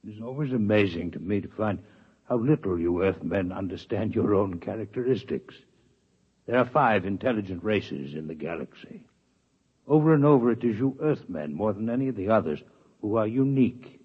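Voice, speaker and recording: A man playing a calm, formal male alien doctor from another planet in a 1950s radio drama, speaking with precise, unhurried diction. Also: on the low side, slightly rough. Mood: measured. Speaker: visiting alien scientist. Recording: dull lo-fi 1950s radio broadcast.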